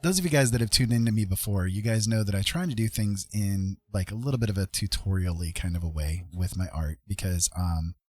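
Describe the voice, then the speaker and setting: A man's voice: fairly low pitch, a little fast, few pauses, no echo. A middle-aged man chatting casually on an art livestream in a self-deprecating, hesitant, informal tutorial manner. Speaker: livestreaming digital artist.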